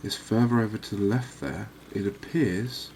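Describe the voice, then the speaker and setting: A man giving quiet, cozy ASMR commentary on a game, unhurried and thinking aloud. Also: lowish voice, slight background noise. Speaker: gaming video host.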